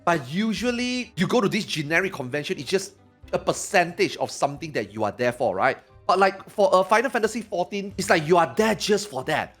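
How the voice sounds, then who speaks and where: A man in his forties, a streamer, talking fast and excitedly in a casual podcast chat, often repeating himself. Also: high-pitched, faint music behind. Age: 40s.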